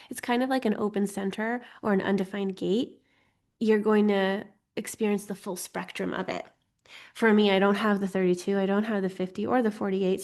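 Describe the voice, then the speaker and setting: A woman's voice: mid-range voice, a little mellow. A woman with a slightly congested voice, teaching an informal online class in a playful, chatty way with lighthearted asides. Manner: playful, giggly, self-deprecating asides. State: slightly congested from getting over a cold. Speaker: host teaching an online astrology course.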